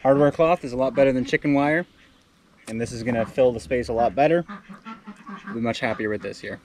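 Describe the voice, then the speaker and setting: A man's voice: medium pitch, brisk, darkish tone. A man in his thirties chatting casually as a homesteader, outdoors by a barn. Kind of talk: casual chat.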